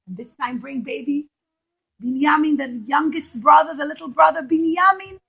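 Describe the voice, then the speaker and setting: An earnest brother from a puppet play, acted by a woman. The high voice protests and pleads in simple, emphatic phrases meant for small children. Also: normal speed, fairly bright tone. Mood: pleading.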